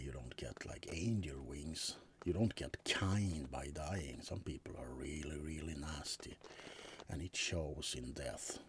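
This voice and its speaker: A man's voice: very deep voice, a little hiss or hum, heavily acted. A man playing a laid-back, wry, centuries-old vampire roommate in an intimate, rambling ASMR roleplay. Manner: hesitant, rambling, intimate, drifting into whispers. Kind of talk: ASMR roleplay monologue addressed to the listener.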